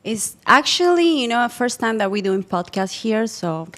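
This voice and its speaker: A woman from Argentina with an Argentine Spanish accent, a fitness coach guest speaking polite, earnest, slightly halting English. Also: on the high side, sing-song, fairly bright tone, slight background noise. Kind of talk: casual podcast interview.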